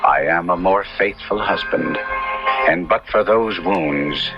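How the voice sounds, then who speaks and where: Repentant, wounded young aristocrat telling his tale; low register, earnest radio-play delivery over clear music. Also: slow. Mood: reflective, rueful. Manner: earnest, literary, self-reproachful. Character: repentant, wounded young Italian nobleman recounting his ordeal. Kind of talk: 1970s radio drama acting, first-person narration. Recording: dull 1970s radio recording with music.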